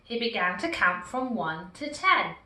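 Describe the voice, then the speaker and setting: Woman reading a picture book aloud, slow and animated, bright voice, echoey room far from mic. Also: mid-range voice. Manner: slow, expressive, warmly animated. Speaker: storyteller.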